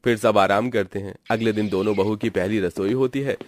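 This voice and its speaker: A man narrating a scripted animated family story. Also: fairly low pitch, brisk, no echo.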